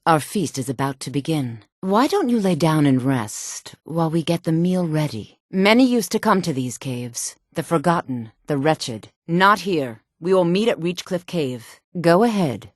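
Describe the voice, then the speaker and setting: An actress voicing a sultry, sly fantasy game woman with a smooth, deliberate, coaxing and quietly menacing delivery. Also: sits low, big pitch swings, no room sound, clean studio sound, full character voice. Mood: coaxing, predatory. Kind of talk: video game character dialogue.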